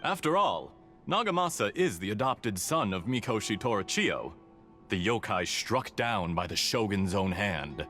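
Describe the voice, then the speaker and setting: A sly game villain who hides behind exaggerated courtesy. His low voice swoops expressively, smug and taunting. Soft music runs beneath it. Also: big pitch swings, measured pace, exaggerated voice. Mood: mock politeness. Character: suave, mocking male villain posing as mechanic. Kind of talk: dramatic video game voice acting. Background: background music.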